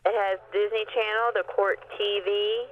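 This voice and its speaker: A woman's voice: medium pitch, flat delivery, normal speed. A woman working as a customer service agent, explaining things on a telephone call. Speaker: satellite TV service phone agent. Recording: tinny narrow phone line.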